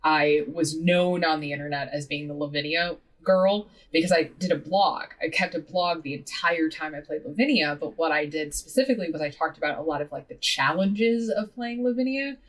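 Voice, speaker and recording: A woman in her thirties, a podcast guest talking in a lively, casual way, full of "like" and casual swearing. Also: low voice, normal speed, few pauses, far from the mic. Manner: animated, heavy use of "like", swears casually. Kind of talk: casual interview chat.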